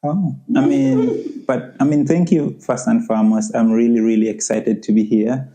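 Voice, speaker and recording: Dark-voiced Ugandan man, a little gravelly. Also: mid-range voice, fairly even intonation, moderate pace. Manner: earnest, frequent "you know". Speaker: podcast guest. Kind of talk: conversational interview.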